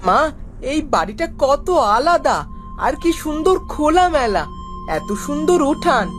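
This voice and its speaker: A woman's voice: sits high, very animated, a little slow, faint music behind. A woman voicing an innocent, curious little boy in a children's cartoon, eager and wide-eyed. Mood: delighted. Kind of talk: children's animated cartoon dialogue.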